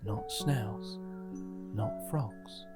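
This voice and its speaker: A storybook narrator giving a gentle, scripted reading for children, with music behind the voice. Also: mid-range voice, very slow. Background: music bed.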